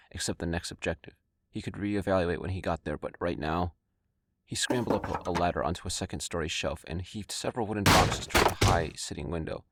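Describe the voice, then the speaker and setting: A man's voice: low voice, dry recording, clean studio sound. A man reading scripted narration for an audio drama. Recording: clearly noisy recording with clicks.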